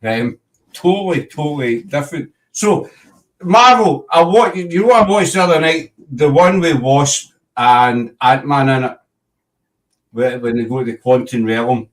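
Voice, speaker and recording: A man's voice: mid-range voice, unhurried, distant mic, poor audio. A Glaswegian Scottish man hosting a casual livestream chat, rambling and breaking off to greet viewers. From Scotland. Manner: rambling, self-interrupting, chatty with viewers. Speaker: host.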